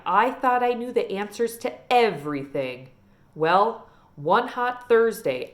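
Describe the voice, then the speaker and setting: Woman playing an animated, genteel old librarian lady. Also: medium pitch, natural voice. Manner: folksy, emphatic, drawn-out storytelling. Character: old Southern small-town librarian lady, genteel, wistful storyteller.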